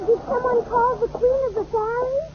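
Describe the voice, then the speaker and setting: A woman's voice: extremely high pitch, fairly even intonation, normal speed. A woman playing an earnest, imaginative little girl who pretends to be a fairy queen in an old radio comedy. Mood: earnest make-believe. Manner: earnest, matter-of-fact make-believe. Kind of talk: 1940s radio comedy acting. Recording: muffled, noisy old radio recording.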